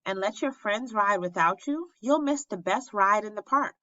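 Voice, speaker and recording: A woman's voice: medium pitch, lively intonation, normal speed, sharp tone, no echo, muffled recording. A woman voicing a stern, protective schoolgirl big sister in a children's storybook, firm and a little bossy.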